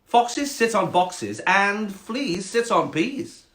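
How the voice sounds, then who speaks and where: A man voicing a snobby, bossy storybook cat in a haughty, drawn-out, insistent way. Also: sits high, big pitch swings, fairly bright tone, reverberant, voice pushed up, exaggerated voice. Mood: smug, insistent.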